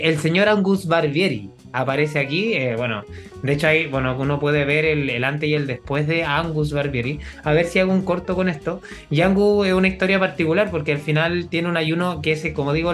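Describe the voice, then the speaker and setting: A young man with a Chilean accent, speaking in a slightly higher register. He chats casually with his co-host, stacking up fillers and laughing easily. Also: fairly bright tone. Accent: Chilean Spanish. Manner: chatty, laughs often, many fillers. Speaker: podcast co-host. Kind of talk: casual chat.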